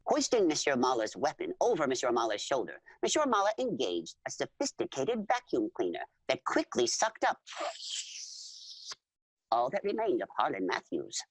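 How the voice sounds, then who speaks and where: Woman playing eager alien storyteller, low-pitched, formal dramatic narration, dry close sound. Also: wildly swooping pitch, moderate pace, dry recording, heavily acted. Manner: formal, repeats full names instead of pronouns.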